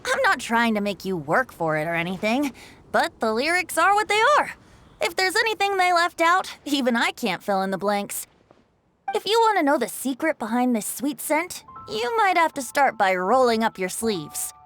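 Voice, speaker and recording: A woman's voice: sits high, big pitch swings, moderate pace, a bit bright. A woman voicing a playful, theatrical young male bard in a video game, with a lilting, poetic delivery. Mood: gently teasing. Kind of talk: video game character acting with recited verse. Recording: music behind the voice.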